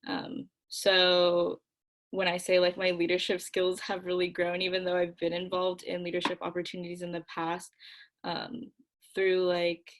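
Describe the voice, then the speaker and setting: A woman's voice: on the low side, moderate pace. A young woman speaking earnestly as a student panelist, with frequent fillers. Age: young adult. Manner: earnest, filler-heavy, steady. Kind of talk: panel discussion answer.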